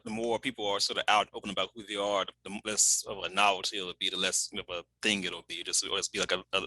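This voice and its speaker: A man hosting an interview, asking relaxed questions with many fillers and restarts. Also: sits low, fast, dry recording, rough recording. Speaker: interview host. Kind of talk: casual interview.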